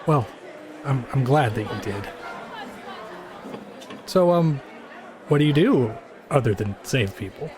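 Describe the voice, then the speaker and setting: A man's voice: medium pitch, expressive, slow pace, long pauses, a little mellow, some chatter behind. An actor playing a nervous, awkward but friendly young man in an audio drama, stammering bashfully.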